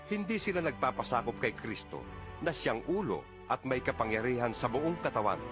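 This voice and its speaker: A man with a Filipino accent giving a solemn, earnest, declamatory reading of scripture as an audio Bible narrator. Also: mid-range voice. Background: background music.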